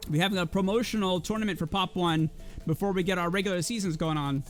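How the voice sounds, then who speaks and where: A man in his thirties hosts a gaming league stream with a noticeably hoarse voice. He talks casually to chat in a fairly high register, and soft music plays faintly behind him. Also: fast talker. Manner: casual, chatty, addresses chat. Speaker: esports league caster. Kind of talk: livestream caster filling time.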